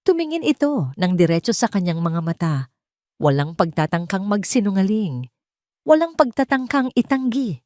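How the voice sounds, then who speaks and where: A woman's voice: medium pitch, very animated, normal speed, a little mellow, dry recording, clean studio sound. A woman reading a scripted romantic drama as a storyteller, in dramatic narration.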